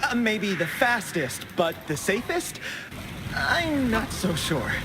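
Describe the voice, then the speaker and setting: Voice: mid-range voice, big pitch swings, slow pace, heavy background noise. A performer voicing an eager, chatty young inventor sidekick in a video game, wordy and polite but cheeky. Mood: wary, cheerful. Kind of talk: video game cutscene acting.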